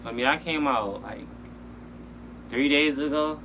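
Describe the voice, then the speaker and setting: Male reaction host. Bright, punchy tone, informal slang, halting repeats, slightly noisy recording. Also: on the high side. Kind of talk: casual reaction chat.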